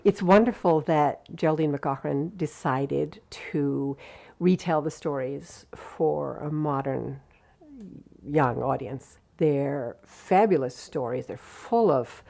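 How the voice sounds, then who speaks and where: A woman's voice: low voice, slow pace, gravelly, mellow. A woman audiobook performer giving reflective, unscripted interview commentary about her work.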